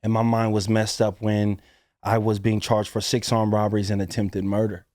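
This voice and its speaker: Energetic motivational speaker man, dry sound. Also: lowish voice, moderate pace, dry recording. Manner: energetic, preacher-like motivational delivery. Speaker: guest, author and motivational speaker. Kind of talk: podcast conversation.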